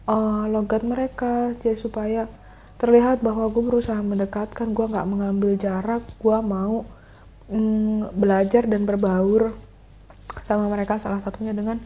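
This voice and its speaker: A woman, hosting a casual solo podcast and musing reflectively with many fillers and pauses. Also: fairly high pitch, dark tone. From Indonesia. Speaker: podcast host.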